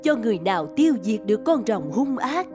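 A woman's voice: on the high side, sing-song, a little fast, music in the background. A woman playing a stern, persuasive older queen mother in a cartoon fairy tale, formal and admonishing. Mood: grave, persuasive.

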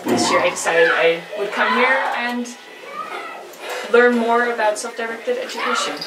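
A young woman from the Netherlands, a native Dutch speaker, answering interview questions as a teacher in a relaxed, thoughtful way with some ums. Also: fairly high pitch, moderate pace, heavy background noise. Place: school studio set. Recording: roomy, distant mic with music.

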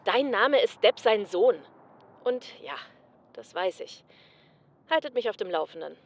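An actress playing a brusque, scolding informant in a comedy audio drama, curt and bossy. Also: female voice, mid-range voice, lively intonation, unhurried. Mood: scolding, irritated. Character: brusque, scolding female informant helping detectives.

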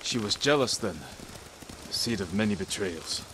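An actor playing a brooding, loyal samurai in a video game, measured and serious as he questions his companion. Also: on the low side, expressive, noisy background, natural voice. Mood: serious, probing. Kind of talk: dramatic game voice acting. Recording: game ambience and hoofbeats over voice. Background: horse hoofbeats, outdoor ambience.

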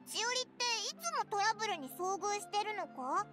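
A woman voicing a small, childlike, eager fairy companion in a game, with blunt, excitable phrasing and a squeaky voice. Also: moderate pace, over loud music. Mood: curious, teasing.

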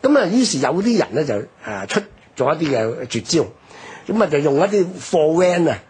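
A man with a Hong Kong Cantonese accent gives an unscripted, emphatic explanation as a history commentator on radio, his voice husky and rough. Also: on the high side, very animated, fairly quick, a little mellow. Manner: explanatory, emphatic, frequent rhetorical tags. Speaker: history commentator, programme guest.

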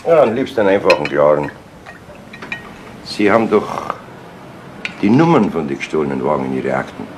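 An actor playing a probing, informal police inspector in a 1970s radio crime drama. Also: male voice, very deep voice, big pitch swings, brisk. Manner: casual, questioning, drops in short prompts. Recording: old radio recording with faint noise.

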